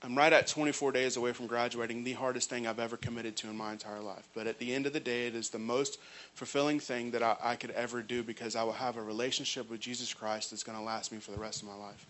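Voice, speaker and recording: A man's voice: medium pitch, fairly even intonation, fast, fairly bright tone, a little hiss or hum, rough recording. A man giving an earnest personal testimony and reading scripture, stumbling on restarts now and then. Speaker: ministry program resident giving testimony.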